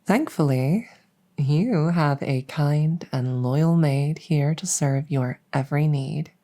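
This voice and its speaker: A woman voicing a devoted, gentle vampire maid in an ASMR roleplay, soothing and polite, close to the mic. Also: sits low, slow. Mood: soothing, caring. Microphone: close stereo condenser mic.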